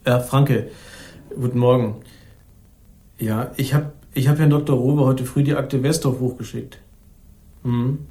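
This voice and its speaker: An actor playing an affable but guarded young police officer in a crime radio play. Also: male voice, mid-range voice, fairly even intonation, measured pace, pauses often, dark tone, close to an everyday voice. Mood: businesslike. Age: young adult. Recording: roomy studio office acoustic.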